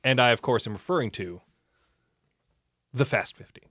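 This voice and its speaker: A man's voice: medium pitch, normal speed, a bit bright, dry recording. Wisecracking man bantering, dry close sound. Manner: wry, wisecracking. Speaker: podcast co-host. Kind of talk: casual comedic banter.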